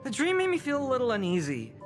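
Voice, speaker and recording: High-voiced, prickly, flustered young heroine. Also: female voice, huge pitch swings, quick pace, music in the background. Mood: uneasy, puzzled. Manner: stammering, huffy, dramatic. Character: prickly, flustered young woman who secretly cares. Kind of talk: animated video game voice acting.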